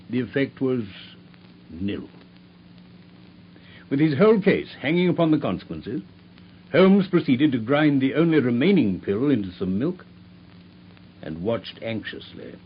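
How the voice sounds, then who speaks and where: A man giving measured scripted narration for a radio drama. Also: mid-range voice, sing-song. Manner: measured storytelling. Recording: dull old radio broadcast with faint hiss.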